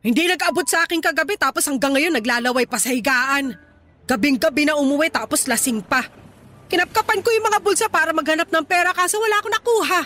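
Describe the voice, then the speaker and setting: A woman's voice: high-pitched, fast, slight background noise. An actress voicing a middle-aged poor mother who scolds and blames her grown daughter, in a radio drama. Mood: scolding. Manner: sharp, reproachful, nagging. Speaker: actor.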